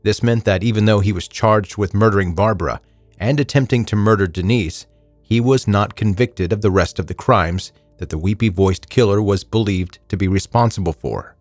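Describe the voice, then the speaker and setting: A man's voice: fairly low pitch, moderate pace, dry recording, faint music behind. A man hosting a true crime podcast, reading scripted narration with casual asides.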